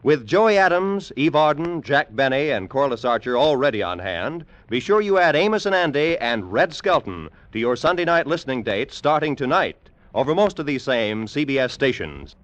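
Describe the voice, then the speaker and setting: A man's voice: mid-range voice, very animated, normal speed, dry recording, highs cut off. A man with an American accent announcing programmes in an old-time radio promotional style.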